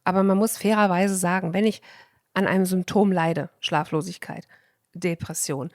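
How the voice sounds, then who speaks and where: A woman's voice: fairly low pitch, fairly even intonation, moderate pace, darkish tone, dry recording, studio quality. A woman physician, a guest on a podcast, explaining her views at length in casual conversation. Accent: German.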